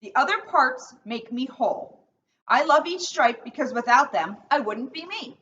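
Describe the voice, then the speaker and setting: A woman who works as a school police officer speaks to children in a plain, encouraging and slightly halting way. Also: high-pitched, lively intonation, a bit bright. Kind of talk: storybook read-aloud and casual talk to children. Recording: muffled, faintly noisy, distant microphone.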